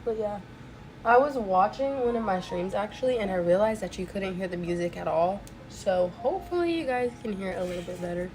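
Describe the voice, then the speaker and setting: A woman's voice: medium pitch, fairly quick. A young woman chatting casually while crafting on a stream, rambling with lots of "like" fillers, her voice a little off from a recent cold. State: voice a bit off from a cold. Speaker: crochet streamer. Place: home crafting setup. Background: faint music.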